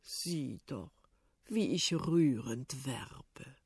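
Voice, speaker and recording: A woman's voice: rumbling low, animated, very slow, darkish tone, slightly rough, no echo, pitched below the performer's own voice, full character voice. A woman voicing a vain, blustering old male tsar with a pompous, threatening manner. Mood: pleading.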